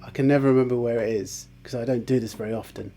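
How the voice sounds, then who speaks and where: A man hosting a casual guitar tutorial, chatty and relaxed, with fillers and restarts, who says his voice is on the low side. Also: fast talker. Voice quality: lower voice, by his own account. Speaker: guitar teacher, channel host.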